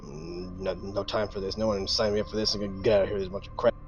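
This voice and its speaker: A man's voice: fairly low pitch, little pitch movement, quick pace, lo-fi sound, soft background music, natural voice. A man voicing a disgruntled squad member in a tabletop game, muttering and grumbling. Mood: grumbling, fed up.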